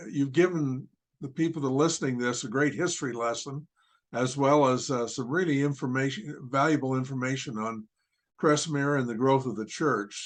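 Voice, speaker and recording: A man hosting a podcast interview, talking in a rambling way with many fillers. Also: mid-range voice. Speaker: podcast host.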